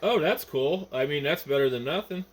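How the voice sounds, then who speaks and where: A man's voice: fairly high pitch, expressive, normal speed. An American man, an amateur radio operator, chatting casually over the radio. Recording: noisy ham radio transmission, static behind.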